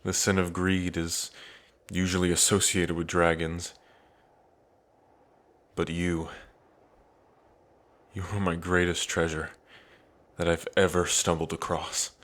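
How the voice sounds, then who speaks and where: A man playing an earnest, devoted dragon prince in an intimate ASMR roleplay, pausing between phrases. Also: deep voice, level tone, fairly bright tone. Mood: tender, lovestruck. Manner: slow, earnest, with long pauses between phrases. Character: lovestruck dragon prince, grieving, tender, devoted.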